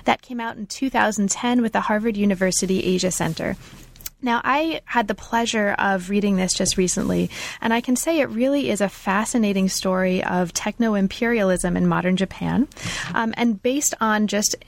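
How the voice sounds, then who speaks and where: A woman's voice: mid-range voice, normal speed, vocal fry. Effusive woman hosting an academic interview. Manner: enthusiastic, effusive praise with fillers. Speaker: podcast host.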